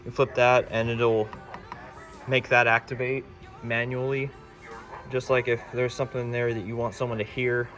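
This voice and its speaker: A man's voice: medium pitch, flat delivery, moderate pace, fairly bright tone. Casual man demonstrating a project over music. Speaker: DIY electronics hobbyist presenting a project. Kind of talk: casual hands-on project walkthrough.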